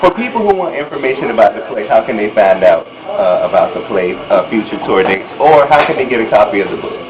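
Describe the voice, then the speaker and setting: A man's voice: lowish voice, very animated, quick pace. A man doing a chatty, effusive on-the-spot interview as the interviewer. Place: busy venue after a stage show. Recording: muffled sound, noisy crowd.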